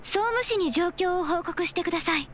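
A woman's voice: extremely high pitch, a bit bright, a little hiss or hum. A woman playing a polite but stern young secretary in an anime-style game, speaking formally and firmly. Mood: formal, composed. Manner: formal, measured, firm. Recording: faint game music behind the voice.

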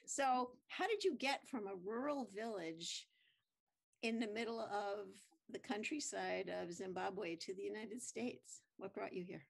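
A woman's voice: medium pitch, measured pace, gravelly. Casting: woman host, chatty, loose questions, webcam call. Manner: friendly, rambling questions with many fillers. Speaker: interviewer host. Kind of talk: casual video-call interview. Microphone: webcam on a video call.

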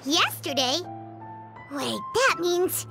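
A woman's voice: extremely high pitch, big pitch swings, slow pace, gravelly, exaggerated voice. A woman voicing a tiny, childlike fairy companion in a video game, earnest, anxious and chirpy. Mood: surprised. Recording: loud music behind the voice. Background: background music.

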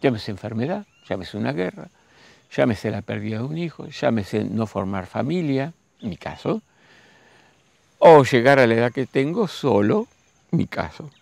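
A man, giving a slow, musing spiritual monologue and speaking straight to his listeners. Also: medium pitch, animated, creaky, darkish tone, no echo. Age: 60s. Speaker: spiritual teacher.

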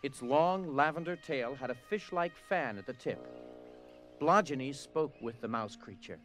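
Casting: male narrator for audio drama, expressive, varied intonation, music underneath. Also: medium pitch, measured pace. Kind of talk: scripted dramatic story narration.

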